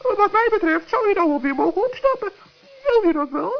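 A man's voice: extremely high pitch, animated, brisk, vocal fry, heavily acted. A man voicing a small, kind-hearted, earnest woodland gnome in a children's radio play. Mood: earnest, firm. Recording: dull old radio recording.

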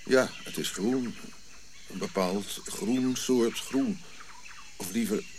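An actor playing a reflective African prince who recounts his royal youth in measured, eloquent radio drama monologue, pausing as he goes. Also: medium pitch, darkish tone, a little hiss or hum, close to an everyday voice. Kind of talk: audio drama narrative monologue.

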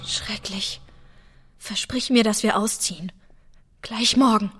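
An actress playing a plucky, outspoken young wife in a German radio drama, with a direct, questioning manner. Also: female voice, mid-range voice, takes it slowly, loud music behind the voice, lightly acted. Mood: distressed. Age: young adult.

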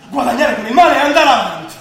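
Embittered lover, very high-pitched man. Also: animated, fast talker, fairly bright tone, faint music behind, full character voice. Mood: bitter, despairing. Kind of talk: theatrical stage drama acting. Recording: distant, very echoey, noisy stage recording.

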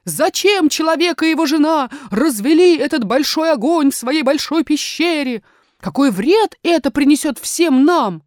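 Storybook wild horse played by a woman: solemn, alarmed orator, high-pitched, drawn-out declamation. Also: lively intonation, no echo, studio quality.

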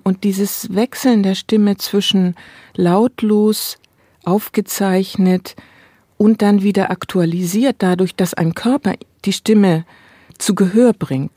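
A woman's voice: mid-range voice, a little slow, dark tone, no echo, clean studio sound. A woman speaking as an interviewed scholar, explaining theory in long, carefully qualified spoken sentences. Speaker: interviewed cultural studies scholar. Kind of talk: academic interview, spontaneous explanation.

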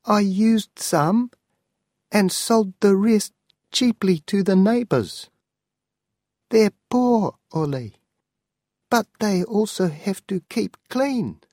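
A man's voice: sits high, expressive, slow, long pauses, a little mellow, dry recording, clean studio sound, voice pushed up, full character voice. A man voicing a very old woman, an anxious, pleading aunt in a storybook reading. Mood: apologetic.

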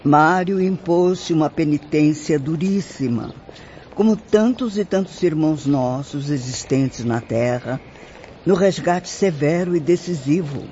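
A woman's voice: sits low, unhurried, warm tone. A woman acting a compassionate, grave spirit nun in a radio drama, with a Brazilian accent and pious delivery. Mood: pious, solemn. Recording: muffled, noisy lo-fi.

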